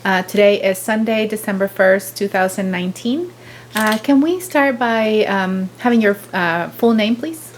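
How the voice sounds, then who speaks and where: A woman's voice: mid-range voice, a little slow, a little hiss or hum. A woman conducting an oral history interview, polite and formal, with brief encouraging replies.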